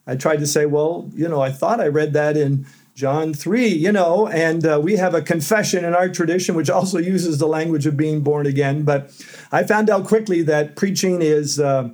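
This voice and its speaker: Middle-aged professor with a loud voice. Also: male voice, on the high side, moderate pace. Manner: loud voice, storytelling with fillers and light laughs. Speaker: seminary professor, podcast guest. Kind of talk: conversational interview answers.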